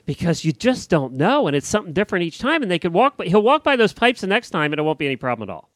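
A man's voice: high voice, sing-song, rapid-fire, no room sound. A man with an American accent co-hosting a podcast, casually chatting and telling stories. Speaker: podcast co-host.

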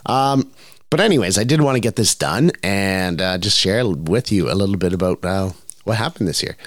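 A man's voice: low-pitched, normal speed, no room sound, studio quality. Stuffy-nosed man hosting a podcast. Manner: relaxed and rambling, with many fillers. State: stuffed up with a cold. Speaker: podcast host. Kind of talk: casual solo podcast monologue.

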